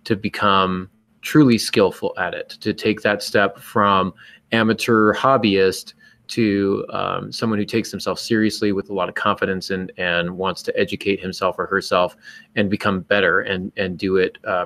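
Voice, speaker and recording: Earnest, emphatic man with a lowish voice. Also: steady tone, moderate pace, creaky, a bit bright. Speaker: podcast co-host and writer. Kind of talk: reflective podcast discussion.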